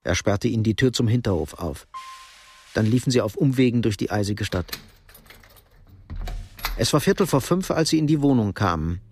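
An actor narrating a Cold War thriller radio drama in a sober, measured, literary manner. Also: male voice, on the low side, animated, a little mellow, faint music behind. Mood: sober, suspenseful. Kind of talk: scripted narration.

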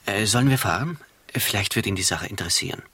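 Earnest amateur detective, sharp forceful voice. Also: male voice, fairly low pitch, animated, fast talker, breathy edge, no echo, heavily acted. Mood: polite, eager. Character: earnest, agitated, indignant male amateur detective. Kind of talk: radio drama acting.

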